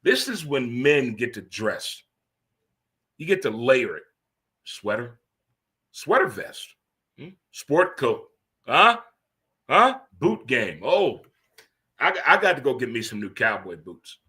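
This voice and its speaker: Bright-voiced fifties man hosting a sports show. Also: mid-range voice, slow, pauses often. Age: 50s. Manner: animated, rambling, teases himself with repeated "huh?"; talks to himself by name. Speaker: sports talk show host. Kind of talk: solo livestream sports talk. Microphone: streaming studio mic.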